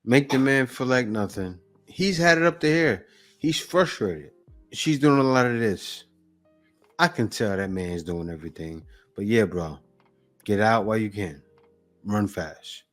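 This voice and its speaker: A man's voice: on the low side, animated, a little slow, soft background music. A man hosting a reaction video, giving blunt, mocking, teasing commentary in a casual way.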